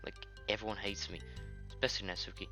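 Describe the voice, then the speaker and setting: A young British man voicing a shy, anxious teenage girl in his own low voice, quiet and hesitant. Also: flat delivery, fast, faint music behind. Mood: timid.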